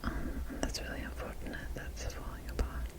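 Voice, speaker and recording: A woman hosting an ASMR video, chatting gently and musing aloud close to the microphone, in a show-and-tell style. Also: a little fast. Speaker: ASMR host. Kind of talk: whispered ASMR doll showcase. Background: tapping and rustling of small objects.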